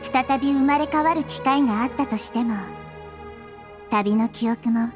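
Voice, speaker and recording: Woman voicing a gentle, high-voiced little goddess. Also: over music, natural voice. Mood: wistful. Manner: measured, reflective, softly feminine speech. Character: gentle, wise child-sized goddess, calm and thoughtful. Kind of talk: anime-style video game voice acting.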